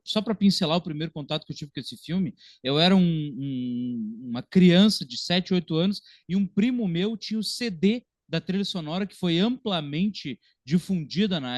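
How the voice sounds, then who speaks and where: A man's voice: fairly high pitch, dry recording, studio quality. A Brazilian man in his thirties hosting a podcast, talking about film in an informal, talkative, conversational way. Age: 30s. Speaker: podcast host.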